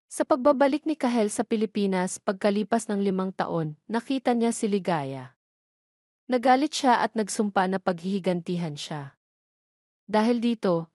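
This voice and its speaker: A Filipino woman narrates a scripted story in a mid-pitched voice. She reads phrase by phrase in a measured manner. The sound is dry and close, with no room around it. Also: a little mellow, no echo.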